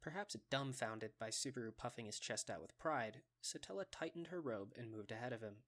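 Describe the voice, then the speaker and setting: A man's voice: mid-range voice, lively intonation, brisk, a bit bright. A man narrating a scripted fantasy story for an anime fan dub.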